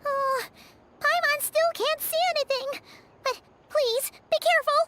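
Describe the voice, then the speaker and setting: A woman's voice: steady tone, a little slow. A woman voicing a small, childlike fairy companion in a video game, chirpy, curious and earnest. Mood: worried. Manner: refers to self in third person, squeaky and earnest. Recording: music playing behind.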